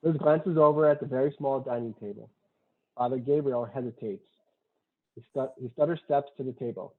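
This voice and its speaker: A man's voice: mid-range voice, moderate pace. A man narrating a screenplay's stage directions in a plain, matter-of-fact way. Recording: muffled, dull lo-fi sound.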